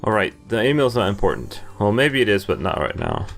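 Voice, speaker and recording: A young man reading game text aloud and commenting as he plays, with amused, halting asides. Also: on the low side, wildly swooping pitch, creaky, a bit bright. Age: young adult. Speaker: video game player and commentator. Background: game music.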